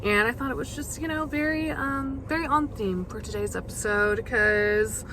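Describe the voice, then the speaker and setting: A young woman hosting a casual solo podcast, chatty and full of fillers, her voice gravelly as she loses it. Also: high-pitched, moderate pace, background noise. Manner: chatty, many fillers and restarts. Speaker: podcast host.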